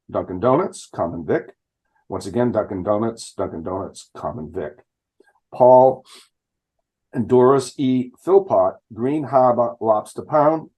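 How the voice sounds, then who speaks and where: A man, reading a list of license renewals aloud at a town select board meeting, with some fumbles and restarts. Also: medium pitch. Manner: reads names in a flat list with fumbles and restarts. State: stuffed up from illness.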